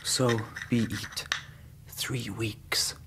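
An actor playing a weakened but stubborn Greek captive, answering haltingly in a radio drama. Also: male voice, on the low side, level tone, in a whisper. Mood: weary defiance. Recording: noisy, scratching and clicks behind.

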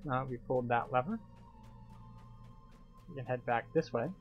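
A man's voice: mid-range voice, normal speed, phone-quality sound, soft background music. A man giving casual, step-by-step commentary as he guides viewers through a video game. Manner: explanatory, step-by-step.

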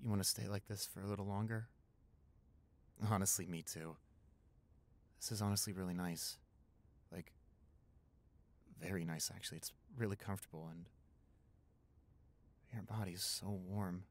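A man's voice: deep voice, fairly even intonation, normal speed, long pauses. A young man playing a tender, playful, smitten new boyfriend in an intimate roleplay, talking in a conversational, hesitant, affectionate way. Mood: tender, soft. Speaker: roleplay audio performer.

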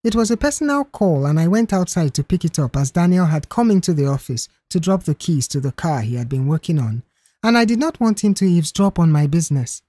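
A man's voice: fairly high pitch, animated, brisk, mellow, no echo. Man voicing a defensive young woman cashier. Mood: explaining. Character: offended young female office cashier, wary and defensive. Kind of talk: audiobook character dialogue.

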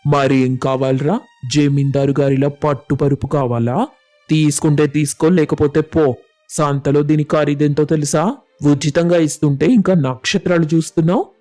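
A male actor plays a tight-fisted village farmer from a storybook tale. He has a slightly high, mellow voice with music behind it. He grumbles scornfully and fires off irritated rhetorical questions. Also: steady tone, moderate pace, close to an everyday voice. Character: stingy, grumbling village farmer, irritable, money-loving man. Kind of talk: animated storybook dialogue.

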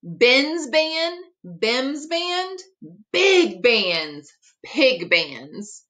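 A middle-aged woman teacher reading a rhyming picture book aloud expressively, articulating tongue twisters with deliberate care. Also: sits high, sing-song, a bit bright. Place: small room at home, indoors.

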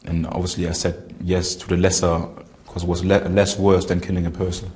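Low, flat-voiced young man. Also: slight background noise. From Germany. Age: 20s. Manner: halting, searching for words. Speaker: interviewee, former militant. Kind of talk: recounting in an interview. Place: indoor room with barred windows.

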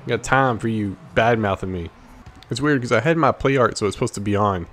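A man's voice: fairly low pitch, fairly quick, a bit bright. An American man giving casual, playful trash-talking commentary as he plays a video game, jumping between exclamations and muttered asides. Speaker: gaming video host. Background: basketball video game sounds.

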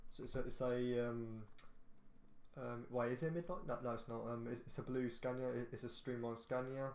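A man's voice: medium pitch, steady tone, normal speed, darkish tone, roomy sound. A man gives casual, rambling game commentary from his bedroom, full of fillers and restarts. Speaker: gaming YouTuber. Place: echoey bedroom.

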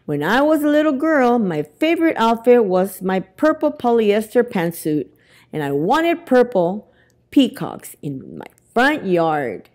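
Casting: middle-aged woman, children's storytime reader, animated pitch, unhurried delivery. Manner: expressive, deliberate reading to young children. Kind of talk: children's picture book read-aloud. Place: indoors in a library, among bookshelves. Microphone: lapel mic.

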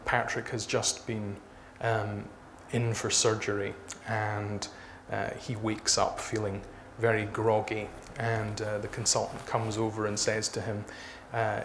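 A man in his thirties speaking haltingly as an author being interviewed on stage. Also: on the low side, level tone, creaky. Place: small dark stage before a seated audience. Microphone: lapel mic. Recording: noisy live event room recording.

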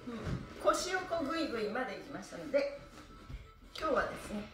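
Cheerful high-voiced woman teaching stretches. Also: very animated, brisk. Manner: cheerful, playful, chatty, laughs often. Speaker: stretch instructor. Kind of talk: live-streamed stretch lesson. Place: small room at home, on a floor mat. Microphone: camera across the room. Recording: roomy echo, far from the mic, faint music.

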